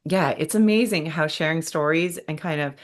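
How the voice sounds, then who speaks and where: A woman's voice: sits low, moderate pace. A woman in her fifties hosting a podcast interview, speaking candidly in a chatty, self-deprecating way. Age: 50s. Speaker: podcast host. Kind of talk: conversational interview.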